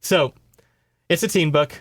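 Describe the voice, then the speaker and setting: A man's voice: fairly high pitch, measured pace, a bit bright, no echo, studio quality. A man hosting a podcast, casually recapping a story with sarcastic, exasperated, sweary asides. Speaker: podcast host. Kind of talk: casual recap of a horror novel.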